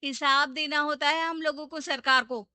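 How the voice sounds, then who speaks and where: A woman's voice: high voice, fairly even intonation, moderate pace, bright, forceful tone, no room sound. A woman voicing a blunt, bossy, burly young female bus conductor in a storybook tale.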